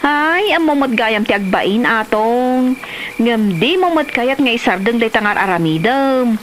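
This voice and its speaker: A woman's voice: on the high side, expressive, a little slow, a little hiss or hum. A woman with an Ilocano accent playing a village mother and exasperated wife in a comedy drama. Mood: scolding.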